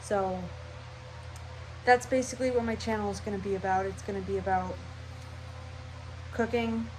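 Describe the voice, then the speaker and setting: A woman's voice: mid-range voice, fairly even intonation, normal speed, a little mellow, a little hiss or hum, poor audio. A young woman with a stuffy, nasal voice, rambling casually through her channel intro at home. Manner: scatterbrained, rambling, chatty. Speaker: vlogger.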